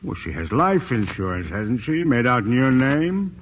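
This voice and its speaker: An actor playing a mock-polite, calmly threatening crime boss in a 1940s-style radio drama. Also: male voice, medium pitch, moderate pace, slightly rough, dull audio. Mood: sly, insinuating. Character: smooth, menacing male crime syndicate boss. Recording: old radio recording, faint noise.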